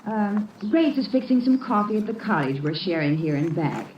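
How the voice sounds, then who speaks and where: A woman's voice: mid-range voice, sing-song, normal speed, distant mic, close to an everyday voice. An actress playing a suspicious, jealous, hot-tempered woman in an old-time radio drama. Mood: chatty. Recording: noisy, muffled, echoey old radio recording.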